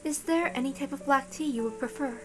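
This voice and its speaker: A woman voicing a composed, motherly witch who speaks with gentle, genteel courtesy. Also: high voice, brisk, soft background music, close to an everyday voice. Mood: courteous, composed.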